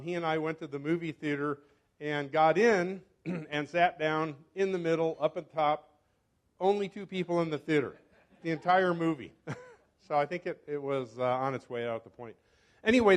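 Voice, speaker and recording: An older man, a scientist, giving a casual talk at a club meeting, often correcting himself and pausing. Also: a little high. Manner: chatty, self-correcting, fumbles with clicker. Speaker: guest speaker, scientist. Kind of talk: informal lecture. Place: meeting hall. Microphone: handheld or podium microphone.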